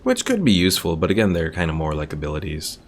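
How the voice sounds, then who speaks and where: A man's voice: deep voice, wildly swooping pitch, quick pace, slight background noise. A man giving casual game commentary as a streamer, thinking aloud and reading game text out. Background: game music.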